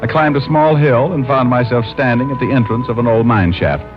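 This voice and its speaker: A man's voice: mid-range voice, brisk, natural voice. A man playing a wry, wisecracking American private detective, acting and narrating in an old-time radio drama. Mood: wry narration. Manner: wry, self-mocking. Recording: dull old radio recording with music.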